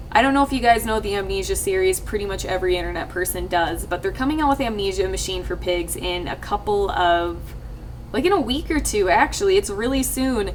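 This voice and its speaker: A young woman talking casually to her viewers, rambling with lots of fillers and restarts, her voice a little husky. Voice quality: slightly husky, low-ish voice. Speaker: YouTube let's player. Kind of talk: casual talk to camera. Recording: distant mic with faint ambient noise.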